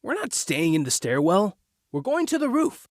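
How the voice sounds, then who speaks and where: A man's voice: sits high, wildly swooping pitch, moderate pace, no room sound, clean studio sound. A man playing a brash, impatient teenage boy who leads his group in an audio drama, blunt and incredulous.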